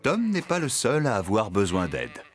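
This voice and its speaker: Easygoing cartoon farmhand, swooping intonation, lowish pitch, loud music behind. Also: male voice, huge pitch swings, moderate pace. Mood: worried, pleading. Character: easygoing, untidy male farmhand. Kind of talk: French children's cartoon dubbing.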